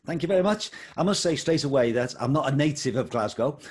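A man's voice: mid-range voice, lively intonation, fast talker, a little mellow, slightly rough. An older man with a Liverpool accent, chatting as an interview guest about his life and work. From England. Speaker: guest, schools coordinator. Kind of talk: casual interview chat.

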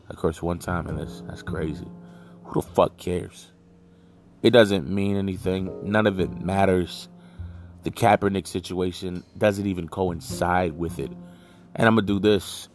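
A man speaks in a deep, low-pitched voice over faint music. He hosts a casual solo podcast and gives his opinions bluntly and emphatically, in loose colloquial phrasing. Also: darkish tone. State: just woke from a nap. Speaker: podcast host.

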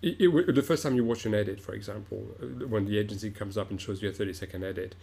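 A middle-aged man from Morocco with a fairly low voice talks in a reflective interview. He pauses, restarts and fills gaps with "um" as he gathers his thoughts. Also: gravelly, warm tone, slightly rough. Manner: thoughtful, halting, many restarts and fillers. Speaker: opera director, guest.

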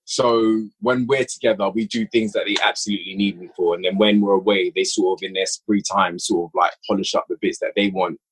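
A man's voice: deep voice, fairly even intonation, a little fast, hardly any pauses, slight background noise, low-quality recording. A man chatting casually as a podcast guest, reflective and repeating phrases for emphasis as he explains his creative work. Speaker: podcast guest, audio drama writer and director. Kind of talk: casual interview chat.

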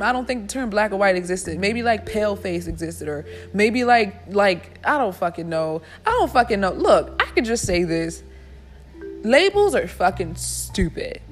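A woman in her twenties hosting a solo podcast, ranting bluntly and emphatically with a lot of swearing. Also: on the high side. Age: 20s. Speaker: podcast host. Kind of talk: casual solo podcast rant.

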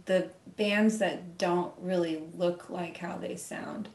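A woman co-hosting a casual talk show, speaking in a lively, animated way with frequent giggles. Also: fairly low pitch, slow, echoey room. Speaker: podcast co-host. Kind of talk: casual chat.

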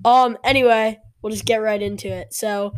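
A woman's voice: fairly high pitch, steady tone. Congested young woman hosting a podcast. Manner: chatty, halting with fillers. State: sore throat, congested. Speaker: podcast host. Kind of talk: casual podcast chat.

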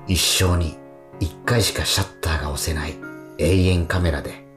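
A man playing a tender, grieving elderly husband in a radio drama, speaking slowly and haltingly with sentiment. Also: extremely low pitch, fairly even intonation, music in the background. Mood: grieving, wistful. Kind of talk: radio drama acting and narration.

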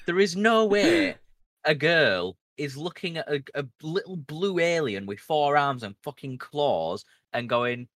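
A man's voice: on the high side, measured pace, no room sound. A man co-hosting a podcast, chatting casually and ranting with lots of swearing. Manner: animated, swearing rants. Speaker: podcast co-host. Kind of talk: casual chat.

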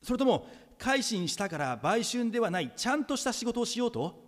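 A man's voice: extremely high pitch, sing-song, fairly quick. A man playing a panicky younger brother in an audio drama, agonizing in an agitated, stammering inner monologue. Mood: anxious, agitated. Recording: long reverberant echo.

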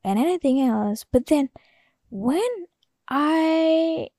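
A woman with an Indonesian accent talks slowly in a rambling, hesitant solo podcast, reaching for fillers as she goes. Her voice sits a little high but has a gravelly, creaky edge. The sound is dry and close. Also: animated, dark tone, no room sound. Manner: rambling and hesitant, full of "like" and "you know". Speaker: podcast host. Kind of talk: casual solo podcast monologue.

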